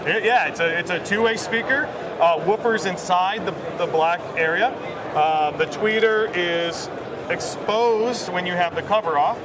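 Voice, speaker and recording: A man's voice: high voice, measured pace, background noise. A middle-aged American man casually explaining a product in an interview, as a company executive. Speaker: company president presenting a product. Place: busy exhibition hall booth, indoors. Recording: loud trade show crowd behind.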